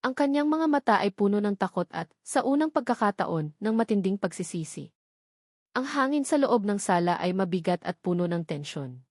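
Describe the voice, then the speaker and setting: A woman's voice: mid-range voice, sing-song, normal speed, a little mellow, no room sound, studio quality. A woman reading a scripted dramatic story as its narrator.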